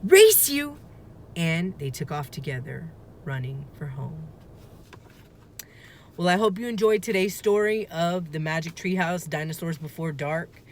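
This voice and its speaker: A woman reading a children's story aloud in a deliberate, expressive narrating style. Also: low voice, slight background noise. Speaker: storybook reader.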